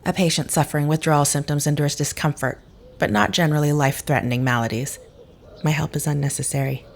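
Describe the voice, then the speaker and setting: A woman's voice: sits low, fairly even intonation, quick pace, vocal fry. Actress as cold female healer, aloof, formal, precise, dismissive, detached, audio drama.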